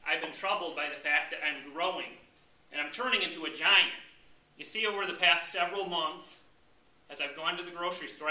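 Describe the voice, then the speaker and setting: An American man delivers a comic speech with theatrical mock indignation. His voice is high and very bright and forceful, pushed out to a room. It sounds roomy, picked up from a distance. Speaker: club speaker. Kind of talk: humorous tall-tale speech to a live audience. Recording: roomy, distant mic with background noise.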